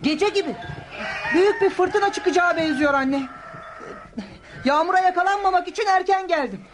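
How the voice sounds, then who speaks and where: A woman playing an eager, dreamy young shepherd boy in Turkish radio theatre, excitable and pleading. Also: extremely high pitch, normal speed. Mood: hurried. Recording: noisy radio drama recording.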